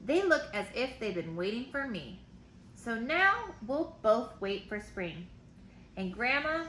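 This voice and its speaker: A woman teacher reading a picture book aloud to children, pausing for simple explanations and questions. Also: mid-range voice, a bit bright. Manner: simple explanations and questions addressed to young listeners. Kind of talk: read-aloud storytime with explanatory asides. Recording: roomy echo, faint background noise.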